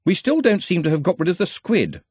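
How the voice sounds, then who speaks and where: Guy doing a nervy pedantic wizard assistant from a storybook, high husky voice, fussy, always deferring. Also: big pitch swings, brisk, darkish tone, dry recording, heavily acted. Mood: anxious protesting. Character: anxious, pedantic male wizard assistant, deferential and long-suffering.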